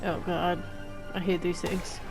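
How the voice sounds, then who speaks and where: A woman's voice: fairly low pitch, flat delivery, a little slow, over music. A young woman streamer giving casual, playful gameplay commentary in slangy exclamations. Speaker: game streamer.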